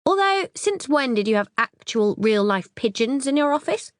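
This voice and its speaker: A woman's voice: high voice, big pitch swings, normal speed, dry recording, studio quality, pitched above the performer's own voice. Claire, a woman voicing a brash sarcastic sister. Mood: impatient. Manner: blunt, sarcastic, crude. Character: brash chatty young British woman, the narrator's sister.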